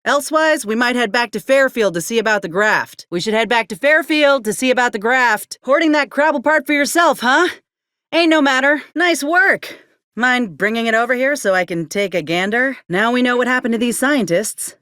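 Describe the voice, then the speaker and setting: A woman's voice: fairly high pitch, normal speed, a bit bright, no echo, studio quality, natural voice. A woman voicing a plainspoken, wry frontier companion in a video game, with a folksy Southern American drawl. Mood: matter-of-fact.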